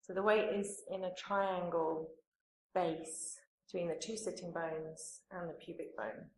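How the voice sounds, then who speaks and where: A woman yoga teacher leading a guided meditation in a calm, soothing manner, with pauses between phrases. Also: fairly low pitch, a little hiss or hum. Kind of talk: guided meditation, gentle spoken instruction. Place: indoor room, live training course class. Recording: roomy echo, live class recording.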